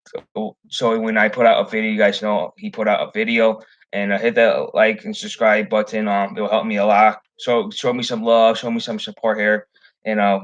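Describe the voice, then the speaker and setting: A man's voice: lowish voice, fairly bright tone, muffled recording. A young man from the Chicago area chatting casually on his fishing livestream, rambling with many ums and restarts. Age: young adult. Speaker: fishing channel host.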